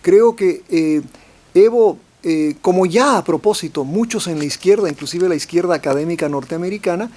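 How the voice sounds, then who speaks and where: A man's voice: sits high, moderate pace, mellow. A man, a professor, speaking at a panel forum, often repeating words and ending phrases with a questioning tag. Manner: hesitant, repeats words, tags "¿no?". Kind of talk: academic forum talk.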